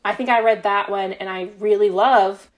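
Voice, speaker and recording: A woman's voice: medium pitch, fairly even intonation, normal speed. A woman with a mid-range voice talks as a guest author in a casual interview. She explains her creative choices reflectively, in loose, conversational sentences peppered with filler phrases. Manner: reflective, explains at length with frequent "you know" and "like".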